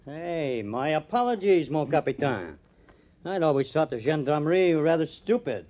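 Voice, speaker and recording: An actor playing a cocky young newspaper artist with a sardonic, teasing manner in an old-time radio crime drama. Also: male voice, fairly high pitch, lively intonation, normal speed, close to an everyday voice. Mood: wry, amused. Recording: dull lo-fi old radio recording.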